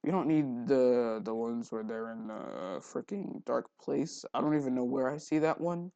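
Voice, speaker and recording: A young man giving a casual software tutorial, thinking aloud as he rambles along. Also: mid-range voice, moderate pace, no room sound, muffled recording. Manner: rambling, thinking aloud, frequent throat clearing. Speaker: tutorial host. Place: indoors at a computer, voice-over for screen recording.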